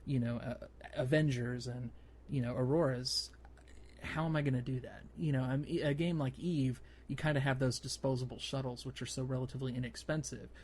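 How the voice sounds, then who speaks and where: Male gaming podcast host, medium pitch, halting conversational delivery, faint noise behind the voice. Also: fairly even intonation, a little mellow. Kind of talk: casual gaming podcast discussion.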